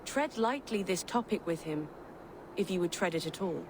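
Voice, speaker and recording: An actress playing a kindly herbalist woman who speaks politely and softly, with clear ambient noise behind her in a game. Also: fairly low pitch, expressive, moderate pace, natural voice. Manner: polite, soft-spoken, gracious.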